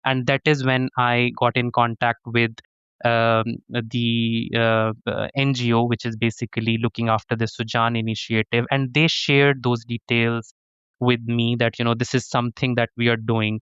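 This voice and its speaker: An earnest man from Pakistan answers interview questions, circling back and restarting as he explains. His voice is very clear and smooth, with little room echo. The recording sounds slightly dull and muffled. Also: medium pitch, little pitch movement, normal speed, fairly bright tone. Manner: earnest, many restarts and "to be honest". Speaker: professor, interview guest.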